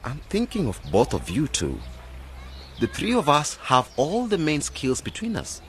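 A man's voice: fairly high pitch, wildly swooping pitch, a little slow, slight background noise. A man acting in a radio drama as an earnest, idealistic, determined young graduate planning a village project. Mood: earnest, explaining.

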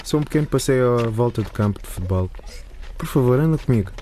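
Guy playing pushy teen boyfriend in radio drama, soft mellow tone, coaxing, faint background noise. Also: medium pitch, animated, fast talker, lightly acted. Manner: coaxing, insistent. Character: persistent, smooth-talking schoolboy pressuring his sweetheart.

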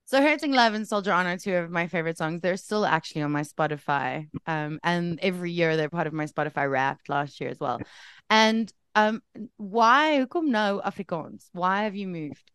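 A woman's voice: on the low side, animated, moderate pace, fairly bright tone, clear, resonant tone, no room sound. A woman hosting a radio interview, upbeat and playfully teasing her guest. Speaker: radio host.

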